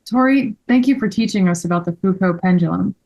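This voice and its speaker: A woman's voice: medium pitch, brisk, vocal fry, a little mellow. A woman playing an earnest, grateful male friend in a table read of a children's story.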